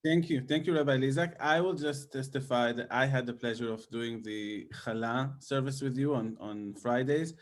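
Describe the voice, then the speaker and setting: A man's voice: mid-range voice, normal speed, hardly any pauses. A man moderating a panel session, thanking speakers and introducing the next one with frequent restarts. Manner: warm thanks, hesitant restarts.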